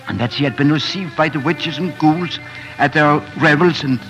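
A man's voice: a little high, moderate pace. A man narrating a story theatrically and suspensefully in 1940s radio drama style. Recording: old 1940s radio recording with music.

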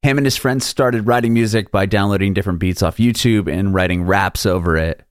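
Male podcast host, young adult, low-ish voice, relaxed and slangy, dry close sound. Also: fairly quick, no room sound. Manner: laid-back, uses casual slang. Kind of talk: read intro, then casual interview chat.

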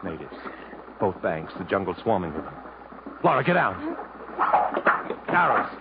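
An actor playing a resolute, commanding adventurer hero in a 1950s radio drama, speaking earnestly and in a clipped way. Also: male voice, deep voice, sing-song, measured pace, heavy background noise, full character voice. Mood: urgent, commanding. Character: resolute adventurer hero fleeing through jungle, also narrating. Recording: muffled old radio broadcast with music.

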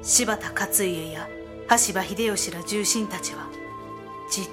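A woman with a medium-pitched voice reads formal narration for a historical audio drama. Her storytelling is measured, and music runs clearly behind her.

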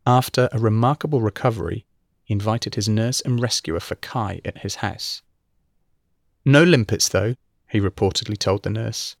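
A man reading a true-crime feature article in measured scripted narration. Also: fairly low pitch, gravelly, dry recording, clean studio sound.

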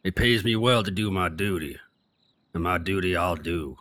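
A man playing a gruff, stubborn ex-prizefighter gatekeeper in an audio drama, with rough working-class speech and grammar. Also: low-pitched, moderate pace, a bit bright.

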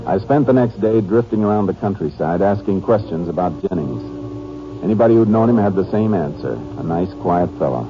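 An American actor playing a shrewd, laconic Texas Ranger in a 1950s radio drama, terse and matter-of-fact. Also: male voice, deep voice, animated, normal speed. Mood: measured, matter-of-fact. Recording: muffled old radio recording, faint music.

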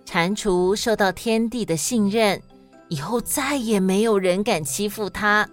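A woman with a Taiwanese Mandarin accent narrating and explaining in a scripted, expressive style for children. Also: medium pitch, unhurried, faint music behind. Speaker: children's story podcast host and narrator.

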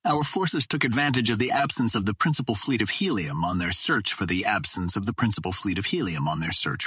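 Grave older nobleman, read by a male narrator, husky and low. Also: fairly bright tone, dry recording, exaggerated voice. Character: older Martian nobleman, courtly, grave and regretful.

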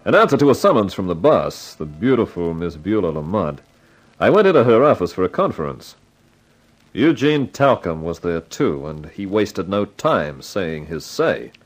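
Husky wry hero of an old radio crime show, unflappable, voice moves around a lot. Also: male voice, deep voice, big pitch swings, moderate pace, faint music behind. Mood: dry narration. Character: wry, confident fashion advertising man narrating a crime story. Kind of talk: 1950s radio detective drama acting.

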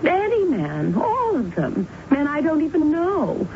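An actress playing a flirtatious, self-pitying sister who coaxes and pleads, in a 1970s radio drama. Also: female voice, sits high, wildly swooping pitch, a little slow, exaggerated voice. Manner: coaxing, pleading, breezy. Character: vain flirtatious married woman, self-pitying and wheedling. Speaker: the narrator's sister. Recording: muffled old radio broadcast with faint hiss.

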